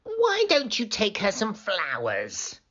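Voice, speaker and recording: Woman voicing a slow, sly, greedy storybook wolf. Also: mid-range voice, huge pitch swings, slightly rough, muffled recording, voice pushed up, heavily acted. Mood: sly scheming. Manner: slow, savoring, falsely soft and coaxing.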